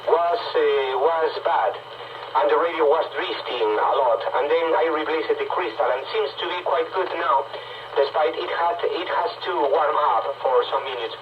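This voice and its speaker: A man, a native Spanish speaker, talking haltingly in a casual explanatory way as a radio operator, heard over shortwave radio. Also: a little high. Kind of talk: casual ham radio chat. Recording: noisy, dull, distant radio reception. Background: loud radio static.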